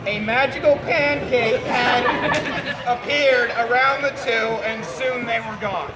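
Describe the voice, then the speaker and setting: Male comic storyteller, very high-pitched, theatrical and halting, working a live audience in a loud, bustling space. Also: fairly even intonation, a loud crowd. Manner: theatrical, halting, heckles own story with asides. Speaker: storyteller leading an audience word game. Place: busy public venue with a crowd. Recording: noisy crowded public space, loud background bustle.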